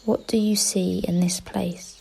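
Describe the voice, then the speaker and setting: A woman leading a guided meditation in a gentle, unhurried way, pausing between short instructions. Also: medium pitch, little pitch movement, vocal fry, husky. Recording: faint outdoor ambience with birdsong. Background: birdsong.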